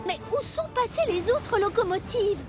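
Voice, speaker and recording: A woman voicing an eager, proud, easily flustered female steam engine in a French-dubbed children's cartoon, expressive and full of exclamations. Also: extremely high pitch, normal speed. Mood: eager, showing off. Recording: music behind the voice.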